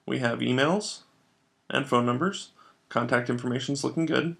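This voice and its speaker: A man narrating a step-by-step software tutorial as a trainer, explaining each step in an instructional way. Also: mid-range voice, normal speed, vocal fry.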